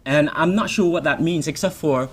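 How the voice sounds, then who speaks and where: A man's voice: fairly high pitch, normal speed, a little hiss or hum. A man in his thirties whose first language is Vietnamese, answering audience questions at a podium mic, thoughtful, with many ums and restarts. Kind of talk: public Q&A. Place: lecture room at a podium.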